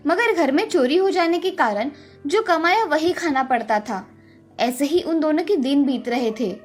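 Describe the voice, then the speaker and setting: A woman narrator reading a scripted moral story for children. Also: sits high.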